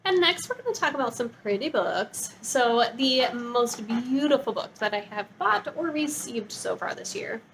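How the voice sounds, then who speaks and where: A woman's voice: a little high, expressive, moderate pace, few pauses, a bit bright, slight background noise, low-quality recording. A woman book vlogger talking casually and informally to her viewers, gushing and saying "like" often. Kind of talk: casual chatty book review to camera.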